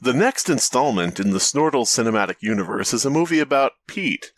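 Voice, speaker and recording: A man's voice: mid-range voice, big pitch swings, brisk, no room sound. A man co-hosting a comedy podcast, silly and laughing often, tossing in goofy bits. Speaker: podcast co-host. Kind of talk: casual comedic chat.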